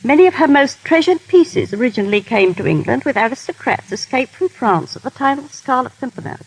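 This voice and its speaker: High, animated, husky woman on vintage radio. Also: brisk, rough recording. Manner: genteel, formal, storytelling. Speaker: guest technical advisor and former royal dresser. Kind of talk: scripted 1930s radio interview, anecdotal. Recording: vintage 1930s radio broadcast.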